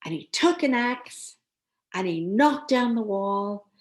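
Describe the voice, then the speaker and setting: A woman storyteller reading a children's tale expressively, with deliberate dramatic pauses. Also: medium pitch, expressive.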